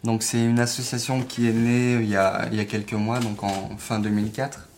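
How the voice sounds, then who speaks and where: A man's voice: lowish voice, level tone, moderate pace, a little mellow, slight background noise. A man in his twenties, a student, speaking hesitantly in an interview and repeating words. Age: 20s.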